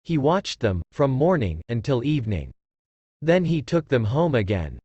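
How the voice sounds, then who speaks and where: Lively male children's story narrator. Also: medium pitch, moderate pace, slightly rough, dry recording, lo-fi sound.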